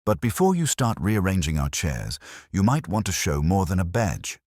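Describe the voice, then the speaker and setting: A man's voice: sits low, very animated, moderate pace, darkish tone, no room sound, clean studio sound, pitched above the performer's own voice. A man voicing a wisecracking, unflappable detective's assistant in an audiobook, sarcastic and cheeky. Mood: cool, needling.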